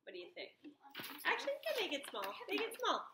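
A woman's voice: very high-pitched, wildly swooping pitch, fast. A woman in her thirties, a teacher, leading an upbeat craft lesson for kids at a home table, explaining step by step. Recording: echoey home room, background noise.

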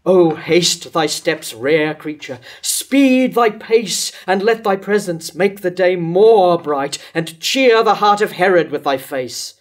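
Adoring king in a stage tragedy, read by a man in his sixties, unhurried, higher register. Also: expressive. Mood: exultant, longing. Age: 60s. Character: lovestruck king hailing his city and queen. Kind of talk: staged reading of verse tragedy. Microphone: lectern microphone.

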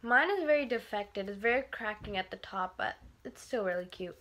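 A woman's voice: medium pitch, animated, brisk, fairly bright tone. A teenage girl chatting casually in her bedroom, reviewing toys while congested from a cold, rambling with many fillers. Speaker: vlogger. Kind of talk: casual product review vlog. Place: bedroom, indoors.

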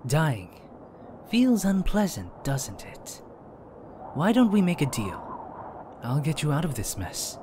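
A man playing a sly, enigmatic manipulator in a comic dub, smooth-talking and deliberate, with faint noise behind. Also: on the high side, huge pitch swings, darkish tone, exaggerated voice. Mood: coaxing, sly. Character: sly, enigmatic long-haired deal-maker, coolly manipulative. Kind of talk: dramatic comic dub acting.

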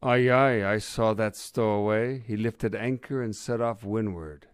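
A man's voice: fairly low pitch, measured pace, smooth, dry recording, clean studio sound, close to an everyday voice. A man voicing a hearty, salty boat captain in a storybook tale. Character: salty old-fashioned male boat captain.

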